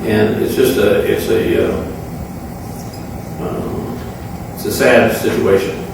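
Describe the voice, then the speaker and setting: A man speaking off the cuff as an official at a public meeting, halting, solemn and aggrieved. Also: on the low side, wildly swooping pitch. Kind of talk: extemporaneous public remarks. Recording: very echoey room, distant mic, clear noise.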